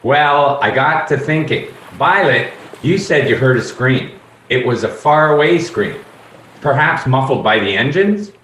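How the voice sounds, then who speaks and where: A man playing a shrewd, accusatory police inspector in a radio play, speaking with deliberate drama. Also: medium pitch, lively intonation, fairly bright tone. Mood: deliberate, dramatic. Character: shrewd, theatrical police inspector unveiling a murder. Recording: roomy, faint background noise.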